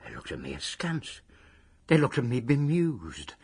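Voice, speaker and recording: Radio play: wistful, obsessive little retired packer, heavily husky, very animated delivery, grand phrases in rough grammar. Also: male voice, mid-range voice, a little slow, heavily acted. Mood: nostalgic, aggrieved. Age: older. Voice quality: raspy, husky. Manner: wordy, self-important, working-class grammar with grand phrases. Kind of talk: radio drama monologue.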